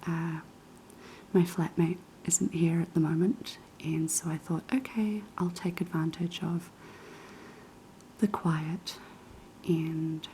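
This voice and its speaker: A young woman with a New Zealand accent whispering unhurried ASMR chat in a kitchen, her voice raspy and hoarse after being sick. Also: low-pitched, slight background noise, low-quality recording. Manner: soft, unhurried whispering with many ums. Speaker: ASMR creator. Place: kitchen of a quiet flat.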